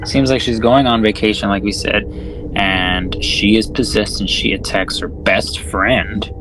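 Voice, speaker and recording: A man with a fairly low voice talks casually about a film as a podcast co-host. He swears freely, uses plenty of slang and puts himself down a little. Music plays clearly behind him. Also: steady tone, a little slow, rough recording. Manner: profane, slangy, self-deprecating.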